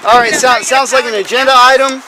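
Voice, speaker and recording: A man's voice: very high voice, fairly even intonation, a little fast, over a noisy crowd, low-quality recording. A man leading an outdoor community meeting by a road, reading rules aloud and steering the talk. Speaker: meeting leader. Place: outdoors by a roadside, wind and traffic. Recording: loud outdoor noise.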